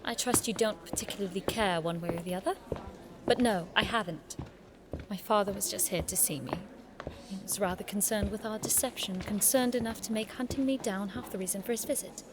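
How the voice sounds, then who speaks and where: A fiery young noblewoman acted by a woman speaks with arch sarcasm and biting, formal wit at a middling pitch. It is audio drama, with clear ambient noise behind her. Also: brisk, lightly acted. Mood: bitter, biting. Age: young adult.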